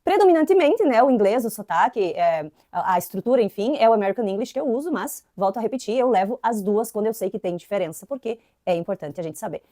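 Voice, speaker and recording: A woman's voice: medium pitch, fast, no echo, studio quality. A young woman from southern Brazil with an inland Santa Catarina accent, teaching an English lesson in a casual, chatty way, often tagging lines with "né". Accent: Santa Catarina inland Brazilian Portuguese. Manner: chatty, frequent "né" tags, lively emphasis.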